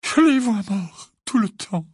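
A man voicing a distraught middle-aged mother in an audiobook, crying and stammering in a high, trembling voice. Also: expressive, a little mellow, breathy edge, no room sound, studio quality, heavily acted. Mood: tearful, ashamed. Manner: stammering, halting, wailing.

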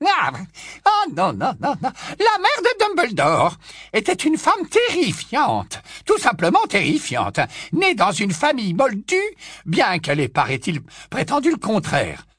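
A shrill cackling ancient aunt. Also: male voice, wildly swooping pitch, measured pace, a bit bright, husky, no room sound, clean studio sound, full character voice. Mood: gloating. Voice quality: shrill, high squawk, endlessly repeating "non, non, non". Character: cackling, nosy, ancient aunt, 107 years old. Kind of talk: storybook reading.

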